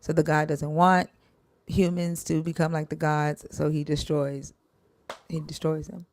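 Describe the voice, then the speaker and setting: A woman with a low voice hosts a casual live stream, answering questions in a halting, restarting way. Her voice sounds close and dry, with no room around it. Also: level tone, a little mellow, no echo. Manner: halting, many fillers and restarts.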